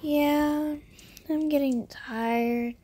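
A woman's voice: high voice, steady tone, very slow, mellow. A woman voicing a cute, flirty female animal character in a fan cartoon. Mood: tired. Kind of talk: fan-film cartoon acting.

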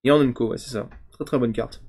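A man in his thirties casually reviewing card previews on a stream, chatty and thinking aloud, often trailing off into quieter asides. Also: medium pitch, a little fast, gravelly, breathy edge. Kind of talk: casual game commentary. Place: home streaming room, indoors.

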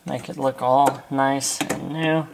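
Middle aged american guy, bit of vocal fry, chatting thru a diy install in a car, some faint noise and clicks. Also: medium pitch, flat delivery, slow pace. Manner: easygoing, talking while working with his hands. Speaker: host of a car accessory install video. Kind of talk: casual hands-on tutorial chat. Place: inside a parked car.

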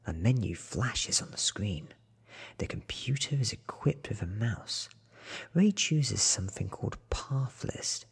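A man in his thirties giving a soft, whispered ASMR reading of a story, breathy and deliberate, close to the microphone. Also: lowish voice, animated. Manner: slow, hushed, deliberate. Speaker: ASMR book reader. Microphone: close microphone in front of him.

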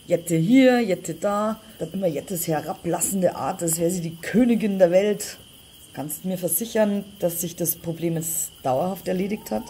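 An actress playing a resentful, scheming older sister in a German crime audio drama. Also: female voice, lowish voice, normal speed, mellow, slight background noise, lightly acted. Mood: bitter resentment. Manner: resentful, contemptuous. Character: bitter, scheming older sister plotting against her sibling.

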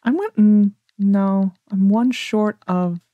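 A woman's voice: mid-range voice, takes it slowly, narrow, tinny audio, slight background noise. A woman with a General American accent giving casual gameplay commentary, rambling as she thinks aloud to herself. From United States. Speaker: gaming video host.

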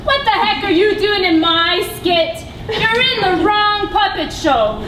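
A woman's voice: very high-pitched, lively intonation, measured pace. A woman performing as herself in a puppet show, flustered and hesitant with bursts of laughter. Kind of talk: amateur puppet show acting. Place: stage in a hall with an audience. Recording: echoey room from far off with noise.

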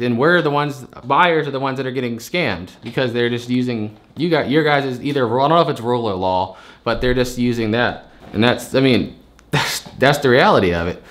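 A man, a storage unit buyer arguing his case, frustrated and rambling, repeating himself as he pushes his point. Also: mid-range voice. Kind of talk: heated phone argument.

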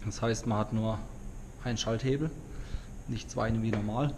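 Ein junger Mann aus Deutschland, der locker und begeistert mit Umgangssprache von seinem Roadtrip erzählt und dabei in eine Handkamera im Freien spricht. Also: male voice, lowish voice, moderate pace. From Germany. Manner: excited, slangy, talks to camera outdoors. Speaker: travel vlogger. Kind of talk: casual travel vlog. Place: outdoors on a road trip in the mountains. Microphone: handheld camera. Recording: outdoor recording with clear wind and background noise.

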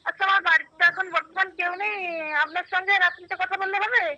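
A woman's voice: extremely high pitch, steady tone, quick pace, pushed and bright, lo-fi sound. A woman, answering in a casual phone conversation. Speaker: person called on the phone.

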